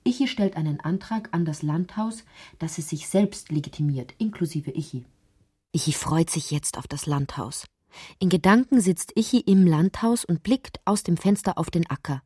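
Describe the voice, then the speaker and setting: A musing female narrator of an absurd literary radio play. She has a low, soft-edged, mellow voice and speaks in deliberate, measured phrases, recorded very dry and close. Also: lively intonation, breathy edge, dry recording. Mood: musing, wry. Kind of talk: literary audio drama narration.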